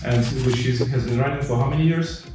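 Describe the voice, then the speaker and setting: A man's voice: mid-range voice, brisk. A man hosting an interview, asking questions hesitantly with fillers. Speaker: interviewer, series host. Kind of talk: casual interview. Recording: echoey room, far from the mic, music behind.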